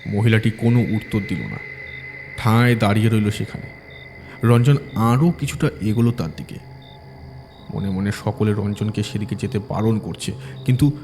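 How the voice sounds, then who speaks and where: Low-voiced male horror storyteller. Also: darkish tone, slight background noise. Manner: measured, dramatic storytelling. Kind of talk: scripted horror audio story narration.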